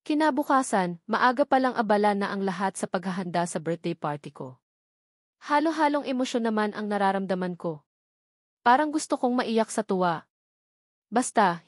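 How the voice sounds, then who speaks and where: A woman narrates a first-person novel as a scripted read. Her pitch sits in the middle for a woman, and the sound is very dry, with little room around it. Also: dry recording. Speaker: audiobook narrator.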